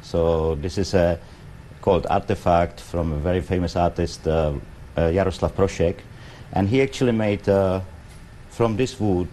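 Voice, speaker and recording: A middle-aged man with a Czech accent, a guest in a TV studio interview, speaking halting English with many fillers. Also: low voice, warm tone, a little hiss or hum. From Czech Republic. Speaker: pavilion guest. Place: TV studio set.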